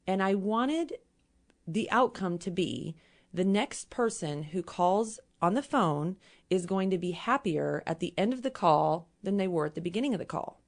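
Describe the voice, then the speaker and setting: A hoarse woman with a Midwestern American accent, a company president giving earnest explanations as a guest in a casual interview. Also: fairly low pitch, expressive, normal speed. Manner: earnest, explains with everyday examples.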